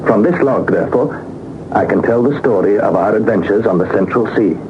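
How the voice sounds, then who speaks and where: Actor as earnest young explorer, narrating through phone-like static. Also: male voice, fairly low pitch, animated, lightly acted. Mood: solemn wonder. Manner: measured, literary, dramatic storytelling. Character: earnest young nephew narrating his expedition log. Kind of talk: 1960s radio drama narration. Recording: noisy phone-like old 1960s radio recording.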